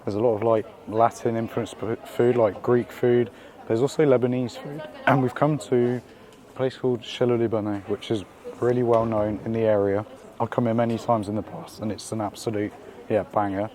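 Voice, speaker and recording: A man hosting a casual food vlog while out in the city, his voice croaky from being ill, chatty and upbeat with lots of slang and fillers. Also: mid-range voice, steady tone, normal speed, dark tone. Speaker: food vlogger. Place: out and about in city streets and a small eatery.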